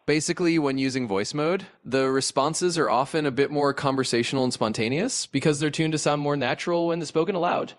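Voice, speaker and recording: A man's voice: a little high, fairly quick, few pauses. A man's voice as an AI chatbot assistant, explaining in an easygoing, reassuring way. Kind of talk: casual explanation.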